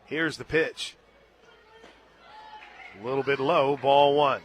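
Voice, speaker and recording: A man calling a live softball game on radio from a stadium booth, climbing into excited shouts on big plays and laughing easily. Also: medium pitch, slow pace, some chatter behind. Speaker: radio play-by-play announcer. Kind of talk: live radio sports play-by-play.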